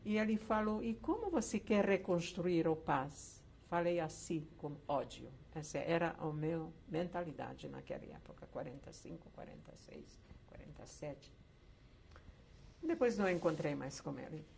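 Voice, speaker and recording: An older woman from Czechoslovakia, once a camp survivor, telling her life story slowly with hesitant pauses in an oral history interview. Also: low voice, expressive, gravelly, a little mellow, slight background noise. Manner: slow reflective storytelling with hesitant fillers.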